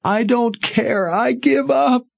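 A man's voice: level tone, unhurried, no echo, dull audio. A man voicing an anguished young woman who pleads and wails through sobs over her dying mother. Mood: wailing. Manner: wailing, sobbing high pleas. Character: anguished young woman, grief-stricken daughter.

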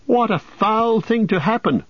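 A man voicing a small, gentle, anxious male hobbit in a storybook, speaking in an agitated, plaintive way. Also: high-pitched, big pitch swings, fairly quick, breathy edge, dry recording, dull audio, pitched above the performer's own voice, heavily acted. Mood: distraught.

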